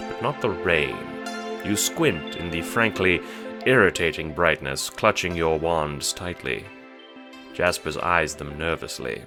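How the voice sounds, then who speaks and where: Male narrator, very low pitch, lively intonation, scripted audiobook storytelling, faint music.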